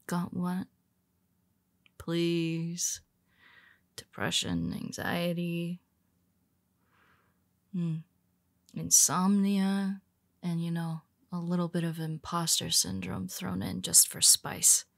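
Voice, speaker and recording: A woman playing a weary, teasing female superhero who speaks softly to her nemesis, often dropping to a whisper. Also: on the low side, little pitch movement, slow, vocal fry, pauses often, no room sound, clean studio sound. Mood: wry teasing. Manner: teasing, soft-spoken, slips into whispers. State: tired. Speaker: audio roleplay performer. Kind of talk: intimate audio roleplay.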